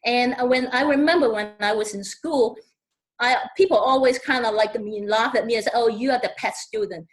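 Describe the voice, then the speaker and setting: Halting Hong Kong-accented woman panelist. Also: a little high, low-quality recording. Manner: halting, many fillers and repeated words. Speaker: webinar panelist. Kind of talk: webinar panel talk.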